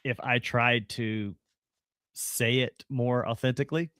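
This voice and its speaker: An emphatic man with a South Carolina drawl tells stories in a loose sports-show chat. He speaks at a middling pitch, and his voice comes across close and dry with no room sound. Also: a little slow, no echo. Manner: animated storyteller, emphatic. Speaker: co-host.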